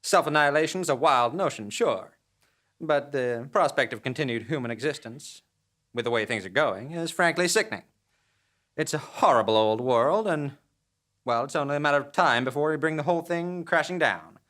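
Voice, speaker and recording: A man's voice: a little high, expressive, moderate pace, fairly bright tone. A man playing a brash, cheerfully nihilistic female minion in a comic audio drama, with breezy, folksy, old-fashioned slangy delivery. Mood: defiant.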